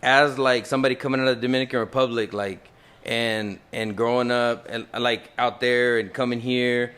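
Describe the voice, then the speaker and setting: A man hosting a casual podcast, talking in a hyped, slangy, animated way and tossing in Spanish phrases. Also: mid-range voice, normal speed, smooth. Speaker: podcast host.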